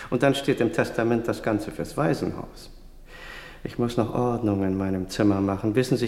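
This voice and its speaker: Gaunt grumbling lodger, low creaky voice, insistent, suspicious, echoey corridor, radio drama. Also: male voice, darkish tone. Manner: insistent, grumbling, emphatic short sentences. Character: gaunt, suspicious, self-important lottery-dreaming male hotel lodger. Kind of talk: German radio play acting. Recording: echoey hall with long reverb.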